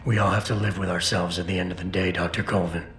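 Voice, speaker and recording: A low-voiced, stoic operative speaks in clipped, controlled lines, determined and unshowy. It is video game cutscene dialogue with a roomy echo and music behind. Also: male voice, level tone, quick pace, a bit bright. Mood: controlled, firm. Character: stoic, determined male cyborg security operative on a rescue mission. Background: background music.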